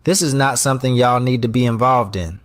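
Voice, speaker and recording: A man's voice: mid-range voice, brisk, no room sound, clean studio sound, voice pushed up, lightly acted. A man voicing a proud, guarded, stressed restaurant owner in an audio story. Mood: tense, dismissive.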